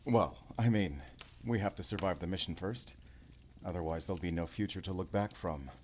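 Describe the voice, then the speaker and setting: Werewolf hunter for audio drama, male actor: low voice, commanding but tender, resolute and intimate, over noticeable ambience. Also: moderate pace, darkish tone, a little hiss or hum, lightly acted. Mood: tender, wistful. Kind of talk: cinematic audio roleplay acting.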